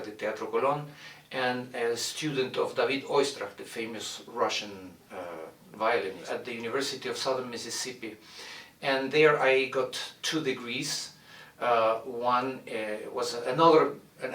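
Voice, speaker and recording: A man's voice: medium pitch, fairly bright tone, distant mic. Man speaking in a roomy echo. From Argentina. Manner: measured, frequent "uh" pauses while searching for words. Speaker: violin professor and orchestra director. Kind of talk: recorded introduction to his studio. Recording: roomy echo, mic set back.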